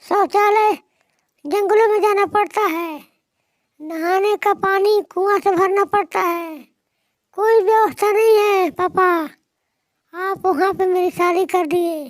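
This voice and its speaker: Woman voicing a very high-pitched, stubborn young wife. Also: fairly even intonation, slow, no echo, studio quality. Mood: complaining, stubborn. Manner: insistent, complaining. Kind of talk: moral-story cartoon dialogue.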